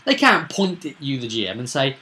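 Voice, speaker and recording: A man talking casually as a game master in an animated, blunt, rambling commentary. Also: mid-range voice, a little fast. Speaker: tabletop game master, video presenter.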